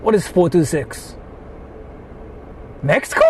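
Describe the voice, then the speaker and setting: A young Japanese man with a Japanese accent, live-streaming casually from city streets, excitable and swearing freely as he talks to his chat. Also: high-pitched, slow pace, a little hiss or hum.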